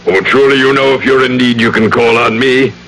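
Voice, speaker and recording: An actor playing a proud, stern gentleman in an old-time radio drama, with formal, theatrical speech. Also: mid-range voice, little pitch movement, fast, natural voice. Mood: smooth, pompous. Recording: noisy old radio recording.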